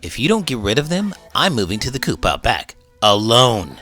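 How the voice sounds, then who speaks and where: An exasperated grown man at the end of his patience, as a storyteller puts him on. His voice drops lower and presses forward with complaint, over light background music. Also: very animated, normal speed, sharp tone. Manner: low, pleading and complaining. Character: fretful, exasperated man fed up with chickens.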